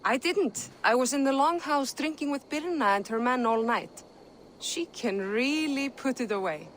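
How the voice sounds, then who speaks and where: An easygoing Norse townswoman in a game cutscene. She speaks in a high, casual voice with faint ambient noise around her. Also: lively intonation, normal speed, a bit bright. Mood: casual, amused. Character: easygoing female Viking townswoman fond of drinking. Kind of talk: game voice acting.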